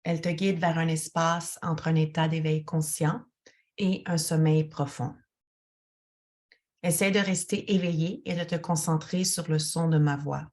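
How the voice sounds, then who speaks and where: A woman guides a relaxation as a yoga teacher, speaking to one listener in a calm, soothing, instructional way. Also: low voice, measured pace.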